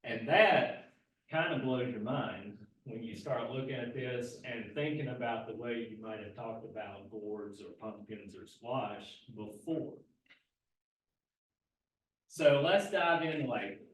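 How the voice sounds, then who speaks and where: A soft-spoken man, an extension agent, teaching a gardening class and explaining at an easy pace with frequent fillers and restarts. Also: medium pitch, lively intonation, a little slow, a little hiss or hum. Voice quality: soft-spoken, not projecting. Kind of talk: live classroom lecture. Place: indoor class room. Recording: very echoey room, mic far from speaker.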